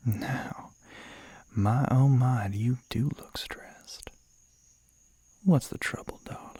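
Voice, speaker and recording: A man playing a suave, flirty crossroads demon in an ASMR roleplay, with a courtly, teasing Southern US drawl that often drops to a soft breathy murmur. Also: medium pitch, extremely slow, long pauses, no room sound, full character voice. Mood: soothing, attentive.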